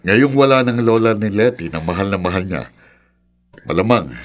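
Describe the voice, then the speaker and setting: A man's voice: on the low side, lively intonation, moderate pace, a little hiss or hum, lightly acted. Man acting a concerned, doubting Filipino father. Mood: pondering. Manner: questioning, reasoning, dramatic. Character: concerned, doubting middle-aged father of grown daughters. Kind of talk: Filipino radio drama acting.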